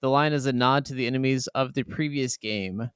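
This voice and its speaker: A man's voice: medium pitch, brisk, fairly bright tone, smooth, no echo, clean studio sound. A man co-hosting a gaming podcast in casual, jokey banter with plenty of swearing. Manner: informal, profane, jokey. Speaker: podcast co-host.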